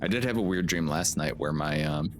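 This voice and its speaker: Deep voiced guy chatting on a game stream, laid back n sarcastic, swears, music behind him. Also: a little fast. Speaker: game livestreamer. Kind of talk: casual gaming commentary with swearing.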